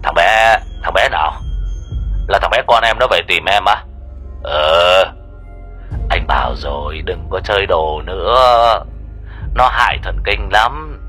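A narrator acts out a gruff male boyfriend in a horror audio story. His voice is low and forceful, blunt and grumbling, over faint music. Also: expressive, unhurried, long pauses, exaggerated voice. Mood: irritated, dismissive. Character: gruff, dismissive boyfriend of a frightened young woman.

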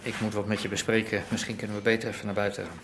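An actor playing a brash, suspicious crime boss, blunt and grumbling in a naturalistic radio drama. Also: male voice, on the low side, flat delivery, rapid-fire, noisy background, lightly acted. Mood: coolly controlled.

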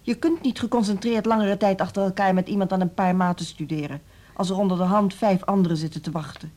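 Casting: woman acting a principled female music teacher, fierce and insistent, soft dark tone, radio drama. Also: medium pitch, steady tone, fast, lightly acted. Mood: earnest, insistent.

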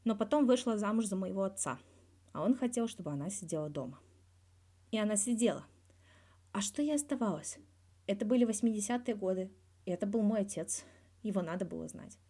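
A woman's voice: medium pitch, lively intonation, fairly quick, long pauses, mellow, lightly acted. A woman voicing a guarded male hockey star in his thirties, talking candidly and wryly in a scripted interview from a fan fiction audio reading. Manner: candid, wry, colloquial with swearing.

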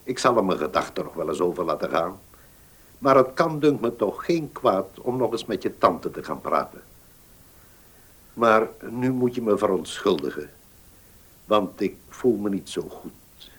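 A very low, husky voice belongs to this elderly notary in a radio play. He is weary and courteous, choosing formal words slowly and with hesitation. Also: sing-song, heavily acted. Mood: fatherly, advising. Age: older. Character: weary old village notary, courteous and measured. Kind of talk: Dutch radio drama acting.

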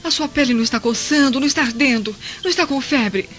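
Mãe romana arrogante, voz aguda. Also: female voice, sits high, fast, a bit bright. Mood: fussing, relieved. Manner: fussing, contemptuous, domineering. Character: haughty, venomous, overbearing Roman mother. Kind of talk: radio drama acting. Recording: dull lo-fi, music underneath.

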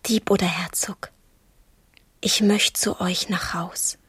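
An actress playing a naive, infatuated young girl in a radio drama, speaking shyly and curiously in a hesitant, husky half-whisper. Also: on the low side, steady tone, takes it slowly, fairly bright tone, no room sound, heavily acted. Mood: adoring. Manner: hesitant, wondering, childlike questioning. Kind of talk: intimate radio drama acting.

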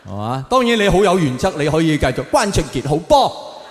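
Male stand-up comedian, Hong Kong Cantonese accent, slightly high voice, exaggerated storytelling, drawn-out punchlines, reverberant hall, faint background noise. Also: sing-song. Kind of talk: stand-up comedy monologue to an audience. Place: large live performance venue.

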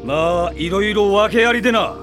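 A man acting in a Japanese radio drama as a cocky, defiant mercenary swordsman who speaks in rough, blunt slang. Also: high voice, moderate pace, natural voice. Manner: rough, slangy fighter's speech. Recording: studio drama with loud music behind.

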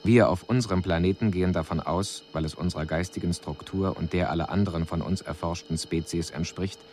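A male alien investigator in a radio drama, with a deep voice. He reports sternly and analytically over faint noise. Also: steady tone, a little fast, a little mellow. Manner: analytical, emphatic. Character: stern alien investigator summarizing findings on humans.

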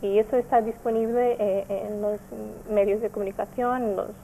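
A woman from Portugal with a Portuguese accent, a native Portuguese speaker, giving careful, halting interview answers as an NGO representative. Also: mid-range voice, steady tone, dark tone, slight background noise, rough recording. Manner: halting, careful, searching for words. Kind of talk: explanatory radio interview answers.

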